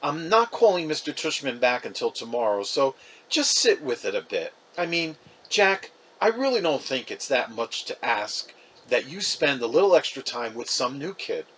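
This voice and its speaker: A man voicing a polite, proud mother gently persuading her child. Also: medium pitch, sing-song, normal speed, slight background noise. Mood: coaxing.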